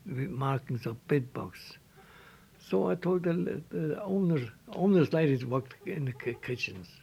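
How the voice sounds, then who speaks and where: An older man from Switzerland with a Swiss German accent, telling his life story in halting English with repeated words and restarts. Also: on the high side, creaky, dark tone, slightly rough. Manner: halting, repeats words, non-native phrasing. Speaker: interviewee.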